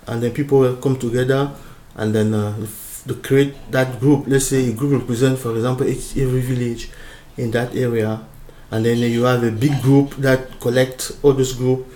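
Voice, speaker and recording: A man's voice: medium pitch, dark tone, echoey room. A man from Cameroon giving an informal lecture, explaining a diagram with many fillers and restarts.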